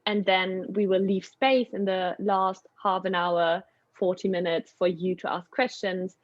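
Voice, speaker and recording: A young woman hosting a Zoom webinar, talking with many pauses and ums. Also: mid-range voice, little pitch movement, no echo, highs cut off. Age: young adult. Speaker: webinar host. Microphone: video call.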